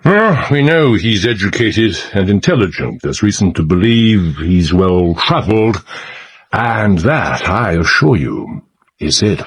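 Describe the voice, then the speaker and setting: Period drama spymaster: British, urgent and grave, deep-ish husky voice, dramatic emphasis. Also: male voice, lively intonation, a little slow. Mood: guarded, measured. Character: grave, urgent male British intelligence chief. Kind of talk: period audio drama acting.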